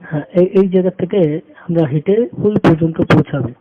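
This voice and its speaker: A man giving an unscripted step-by-step tutorial, halting and repeating phrases as he works. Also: on the high side, breathy edge. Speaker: tutorial instructor. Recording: muffled phone-like audio with background noise.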